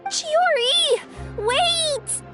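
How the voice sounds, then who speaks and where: A woman's voice: extremely high pitch, very slow, exaggerated voice. A woman playing a sweet, anxious young courier in a video game, with a girlish voice and a hesitant, earnest manner. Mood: worried. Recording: music behind the voice.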